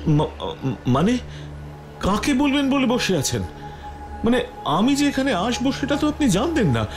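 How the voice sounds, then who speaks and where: A man voicing a curious, eager, polite young nephew in a Bengali cartoon, with an expressive, dramatic delivery. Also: extremely high pitch, measured pace, over music, full character voice. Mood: startled, puzzled. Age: young adult.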